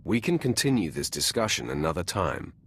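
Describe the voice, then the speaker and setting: Casting: cold game villain master, self-assured and terse, gravel in the voice, widely swinging intonation. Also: male voice, deep voice, big pitch swings, fairly quick, fairly bright tone, slight background noise, heavily acted. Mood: cool, dismissive. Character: commanding male Sith lord, cold, domineering master. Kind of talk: dramatic video game voice acting.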